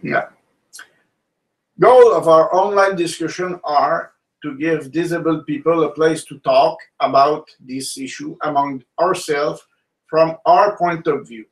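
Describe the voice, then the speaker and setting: Halting man, a bit off-mic. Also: fairly high pitch, far from the mic, low-quality recording. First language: French. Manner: halting, searches for words in his second language. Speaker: co-host. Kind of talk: casual panel discussion.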